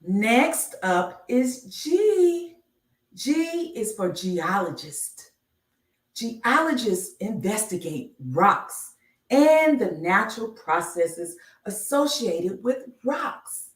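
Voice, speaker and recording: A woman reading a children's picture book aloud on a live stream, enunciating deliberately like a teacher. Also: a little high, big pitch swings. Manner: slow, deliberate enunciation with teacherly announcements of each letter. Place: indoor room, live stream. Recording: roomy, echoey, distant microphone.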